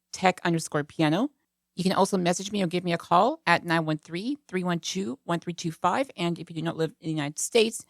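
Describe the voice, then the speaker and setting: A woman's voice: on the low side, no room sound, studio quality. A woman giving a casual tech review as a vlog host, talking in a hesitant, rambling way. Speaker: product reviewer and channel host.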